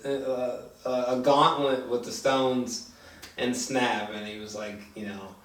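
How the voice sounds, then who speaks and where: Man, roomy echo, slightly distant mic, medium pitch, casual movie reviewer, halting informal delivery, sweary. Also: a little hiss or hum. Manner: halting, filler-heavy, swearing, animated when excited. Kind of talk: casual film review chat. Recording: echoey room, distant from mic.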